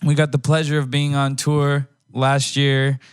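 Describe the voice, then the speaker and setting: A man's voice: mid-range voice, monotone, a little slow, clean tone, no echo, clean studio sound. A man hosting a casual hip-hop talk show, speaking in a slangy, teasing way. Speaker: radio show host.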